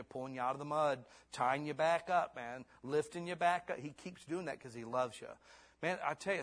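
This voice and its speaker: A man in his fifties preaching a sermon in church. He swings between hushed, intimate lines and fervent, rising exhortation, then closes in prayer. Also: a little high. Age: 50s. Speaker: pastor.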